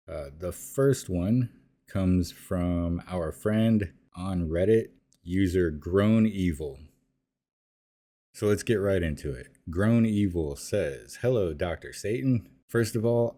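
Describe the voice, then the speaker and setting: A man hosting his own show, answering listener emails in a relaxed, conversational way with frequent fillers and restarts. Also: deep voice. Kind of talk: casual spoken monologue.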